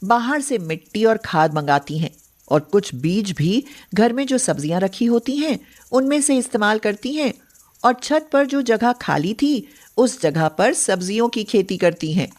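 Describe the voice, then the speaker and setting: A woman's voice: mid-range voice, expressive, a little slow, a little mellow. A woman narrating a scripted story as the storyteller.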